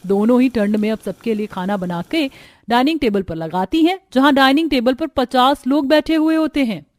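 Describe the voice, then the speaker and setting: A woman's voice: high voice, normal speed, a little mellow, no echo. A woman narrating a scripted cartoon family story.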